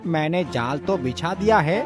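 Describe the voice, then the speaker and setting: A man's voice: on the high side, expressive, measured pace, music in the background. A man voicing a humble, superstitious bird-catcher in a cartoon story. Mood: curious, eager. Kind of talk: children's cartoon story voice acting.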